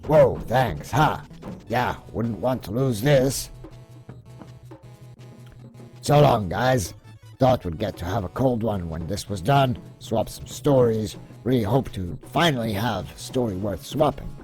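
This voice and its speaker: A man's voice: on the low side, expressive, measured pace, darkish tone. A man acting out dialogue in a hobby comic dub, with an understated, hesitant delivery. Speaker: amateur voice actor dubbing a comic. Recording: sound effects and faint music behind.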